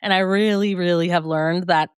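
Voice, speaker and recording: A woman's voice: on the low side, steady tone, normal speed, smooth, no echo, studio quality. A woman guest in a conversational interview, reflective and upbeat, often laughing mid-sentence.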